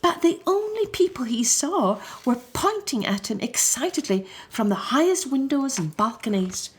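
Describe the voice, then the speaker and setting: A woman narrating a children's story from a script in an expressive, deliberate storyteller's manner. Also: sits high. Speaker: storybook reader.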